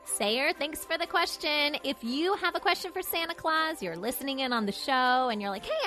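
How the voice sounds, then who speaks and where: A woman's voice: high-pitched, pushed and bright, heavily acted. A woman playing a chirpy, friendly Christmas elf who hosts a children's radio show, upbeat and chatty with listeners. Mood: upbeat, informative. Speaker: host.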